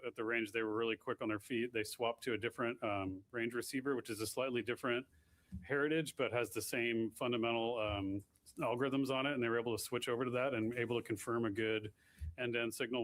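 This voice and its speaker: A man explaining technical launch issues at a press conference, in plain, technical answers with frequent restarts. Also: mid-range voice.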